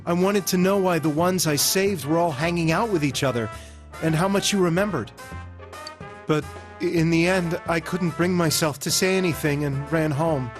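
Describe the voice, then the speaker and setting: An actor playing a remorseful, weary man in a video game, speaking in a subdued, halting, earnest way. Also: a little high, over music, natural voice. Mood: guilty, haunted. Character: remorseful, weary male ex-political hopeful confessing his crimes. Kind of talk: English game voice acting.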